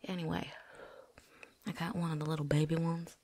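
A woman's voice: low-pitched, little pitch movement, dark tone, husky. A woman playing a chatty, cocky, seasoned vampire hunter in a whispered ASMR roleplay, rambling folksily. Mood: chatty, reminiscing. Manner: folksy, rambling, with drawn-out asides.

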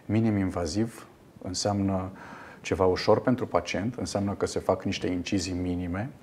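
A man in his fifties, a radiologist guest in a TV studio interview, explaining a procedure in a measured way with frequent fillers. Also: low voice, fairly even intonation, a little mellow, slight background noise. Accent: Romanian. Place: television studio.

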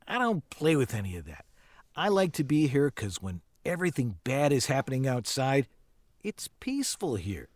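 A man's voice: on the high side, no room sound, full character voice. An actor playing an unhoused man who squats in the shop, easygoing and rambling.

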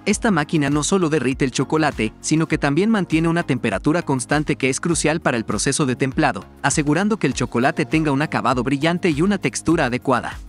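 A man narrating a scripted promotional explainer in a measured, formal read-out manner. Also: on the high side. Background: background music.

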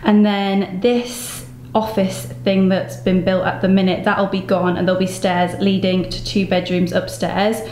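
An English woman in her thirties talks casually to her viewers in an enthusiastic, gushing way. Her voice sits at an average pitch. The recording is echoey, with some noise behind her. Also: flat delivery, a little slow, few pauses, warm tone. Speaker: vlogger. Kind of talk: casual vlog tour, chatty. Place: home room, car, brick barn and yard. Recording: echoey rooms, noticeable background noise.

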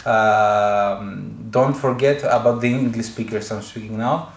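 A man in his thirties with a Greek accent, a native Greek speaker, answering interview questions in a halting way with many fillers and repeated words. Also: on the low side, fairly even intonation, creaky. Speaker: musician and film co-creator. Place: studio set with couch, indoors. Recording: roomy echo.

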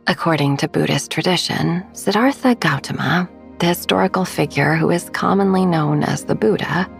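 A woman narrating a sleep meditation in a soft, breathy, gravelly voice with a soothing, unhurried delivery. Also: on the low side. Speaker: podcast host and meditation guide.

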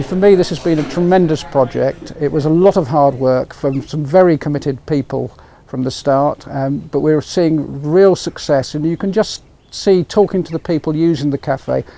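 A man's voice: fairly high pitch, creaky, mellow. A British man in his sixties presenting to camera as a project advisor, outdoors at a railway station. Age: 60s. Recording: faint outdoor station noise.

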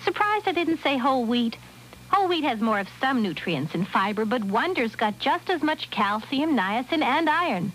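A woman's voice: high voice, sing-song, normal speed, fairly bright tone. A woman playing a fond, amused mother, chatting in an early 1980s radio commercial on noisy old tape. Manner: conversational, amused.

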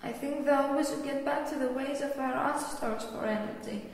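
A woman plays an inquisitive, studious girl in an educational cartoon. Her voice is high and she explains things in a deliberate, read-out way. The recording rings with heavy echo and a long reverb tail. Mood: thoughtful. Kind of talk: scripted dialogue in an educational animation. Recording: very echoey room, long reverb.

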